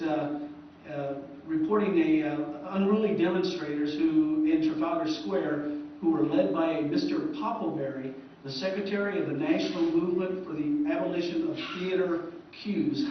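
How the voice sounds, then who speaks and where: Man, slightly high voice, informal lecturer, rambling, conversational, very echoey hall, faint noise. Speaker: historian giving a convention presentation. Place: convention presentation room. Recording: echoey, muffled hall recording from far off.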